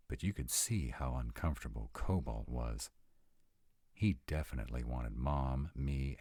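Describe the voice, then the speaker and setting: A man acts out a young male vampire, sardonic but troubled, in an intimate audio roleplay. His voice sits extremely deep, husky and mellow. He confides in a casual, sweary, hesitant way. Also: no room sound, clean studio sound, full character voice. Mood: guilty, worried.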